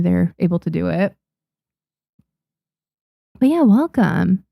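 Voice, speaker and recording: A young woman, Japanese her first language, runs a relaxed live stream from her home studio, chatting with viewers and restarting her sentences often. Her voice sits low and carries a gravelly edge. The sound is dry and close. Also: no echo, highs cut off. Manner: chatty, reads and answers chat, frequent restarts and fillers. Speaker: streamer and manga artist.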